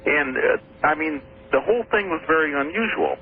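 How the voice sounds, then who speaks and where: A man's voice: fairly high pitch, animated, normal speed. Man, from Washington State, radio caller, hesitant, phone-line sound, faint hiss. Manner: hesitant, repeating words. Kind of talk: radio call-in story.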